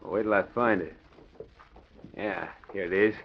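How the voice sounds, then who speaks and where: Actor as low-voiced gangster henchman. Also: measured pace, a little hiss or hum, close to an everyday voice. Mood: wary. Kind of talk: 1940s radio acting. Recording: muffled 1940s radio recording, music behind.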